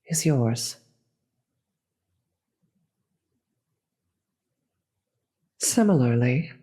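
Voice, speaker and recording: Demon queen played by a voice actor for fantasy audio drama: regal, persuasive, very slow and measured, husky with whispered edges. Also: mid-range voice, darkish tone. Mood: earnest, inviting. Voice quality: breathy, often dropping into whisper. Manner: slow, formal, measured, grave. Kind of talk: scripted fantasy audio roleplay monologue.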